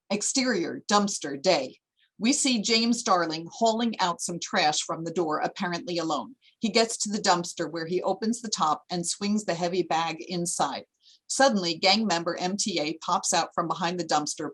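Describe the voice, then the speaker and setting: Lower-voiced woman reading stage directions. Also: a bit bright, breathy edge, low-quality recording. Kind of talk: scripted screenplay narration.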